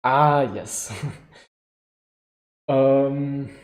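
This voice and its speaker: A man's voice: steady tone, drawn-out words. A man in his twenties with a German accent, chatting loosely on a live Q&A stream, often restarting sentences and laughing. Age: 20s. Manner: rambling, self-correcting, laughing often. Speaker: livestream host. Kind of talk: casual live Q&A. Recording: echoey room with low-quality stream audio.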